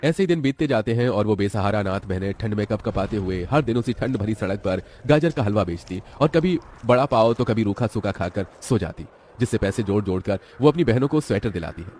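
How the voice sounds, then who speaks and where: A man's voice: fairly low pitch, lively intonation, fairly quick, few pauses, darkish tone, a little hiss or hum. A man narrating a scripted children's moral story in a dramatic way.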